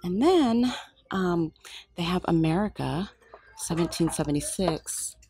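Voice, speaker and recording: A woman filming a shop-with-me vlog in a craft store aisle on a handheld phone, excited and gushing, often dropping to a whisper. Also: lowish voice, a little slow, faint music behind. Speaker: shopping vlogger.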